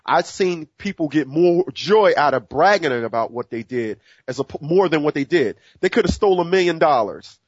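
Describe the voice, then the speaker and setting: Guy in his 40s ranting on his own show, kinda high voice, dull lo-fi sound, dry. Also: animated, moderate pace, few pauses, slightly rough, dry recording. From United States. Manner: earnest, repetitive, many restarts and fillers. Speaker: show host. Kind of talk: solo monologue to listeners.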